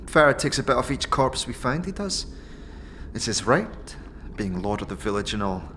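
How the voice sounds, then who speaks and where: A man's voice: medium pitch, big pitch swings, normal speed, pushed and bright, a little hiss or hum. A man voicing a sly, feisty female tiefling scavenger who talks in colloquial street cant for an audio drama. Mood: gossipy, sneering. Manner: colloquial street cant, tags repeated at sentence ends. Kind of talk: audio drama character acting.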